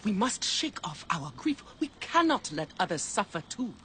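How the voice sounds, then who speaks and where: An actress playing a grieving but resolute wife in a dramatic video game. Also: female voice, medium pitch, sing-song, moderate pace, fairly bright tone, a little hiss or hum. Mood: sorrowful, resolute. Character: grieving, resolute wife urging her husband to fight.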